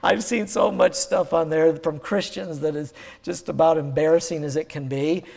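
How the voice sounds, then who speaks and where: A male pastor with a croaky, hoarse voice, preaching a sermon in an easygoing, conversational way with plenty of fillers. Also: a little high, steady tone, normal speed, a little mellow, long reverb tail.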